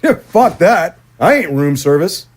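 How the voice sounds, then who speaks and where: Man as a surly guard, slow, swooping delivery. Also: a little high, big pitch swings, slight background noise. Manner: curt, coarse, sneering. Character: gruff, foul-mouthed, surly male guard or errand runner. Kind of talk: audio drama acting.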